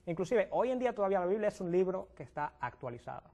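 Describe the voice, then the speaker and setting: Man, youth pastor host, slightly high voice, halting restarts, studio. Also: big pitch swings, dark tone. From Dominican Republic. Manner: halting, restarts words, addresses viewers at home.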